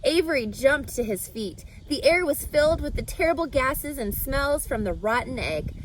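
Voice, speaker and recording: A woman's voice: high voice, animated, normal speed, a little hiss or hum, low-quality recording. A woman reading a children's book aloud expressively, now and then speaking to the listener. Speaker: storyteller.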